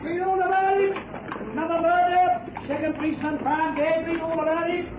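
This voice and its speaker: An actor voicing an urgent newspaper seller crying headlines in a British radio drama. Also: male voice, very high-pitched, little pitch movement, normal speed. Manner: shouted street cry. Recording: dull radio recording, busy crowd noise.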